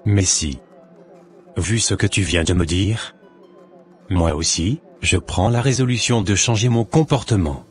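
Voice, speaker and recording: Very deep-voiced, earnest father played by a man. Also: a little slow, faint music behind, close to an everyday voice. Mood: earnest, moved. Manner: earnest, solemn. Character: repentant, earnest father, a humble churchgoing working man.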